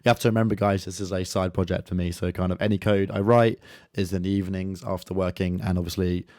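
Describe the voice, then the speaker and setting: A man with a croaky voice from a sore throat, casually walking through his code in a screen-share, loose and conversational with lots of filler words. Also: low-pitched, fairly even intonation, fast talker, darkish tone, dry recording, clean studio sound.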